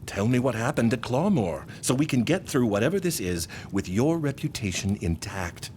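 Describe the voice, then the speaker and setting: A man performs a proud, loyal academic provost under siege in a gothic audio drama. His mid-pitched voice moves with lively intonation, formal and clipped, probing and indignant. A faint rumble sits behind him. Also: normal speed, natural voice. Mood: guarded, concerned. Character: embattled, proud but loyal male institute provost.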